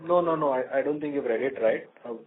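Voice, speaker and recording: A man with an Indian accent answering analysts as a company executive, speaking off the cuff in a halting way and repeating words. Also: medium pitch. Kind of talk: unscripted earnings call answers. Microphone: telephone conference call. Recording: narrow, tinny phone conference line.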